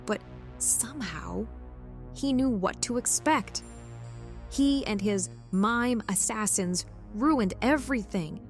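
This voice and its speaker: An actress playing a frightened, desperate, broken henchwoman in an audio drama, stammering and halting in anguish. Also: a little high. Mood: fearful, halting. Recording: music underneath.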